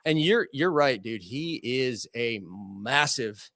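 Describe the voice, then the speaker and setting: A man's voice: mid-range voice, expressive, slow pace, a bit bright, no echo. A man in his thirties on a podcast, chatting casually and joking, full of "like" and "okay". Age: 30s. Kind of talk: casual chat.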